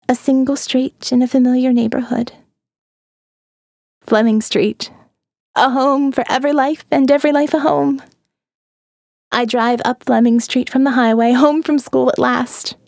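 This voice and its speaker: Female narrator, eerie and dreamy, hushed dips, dry booth sound. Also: creaky, no echo. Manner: dreamy, eerie, drops to hushed low tones. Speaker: audiobook narrator. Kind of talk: scripted first-person narration.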